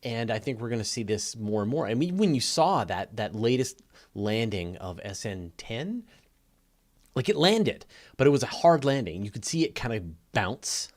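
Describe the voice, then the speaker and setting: A man's voice: medium pitch, expressive, moderate pace. A man hosting a live question-and-answer session, talking casually and explaining with emphatic stress on key words. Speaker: host of a live space Q&A.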